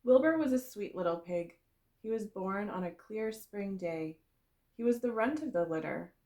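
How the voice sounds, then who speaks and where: A woman reading a storybook aloud for young children, in the expressive, explanatory way of a kindergarten teacher. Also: medium pitch, moderate pace.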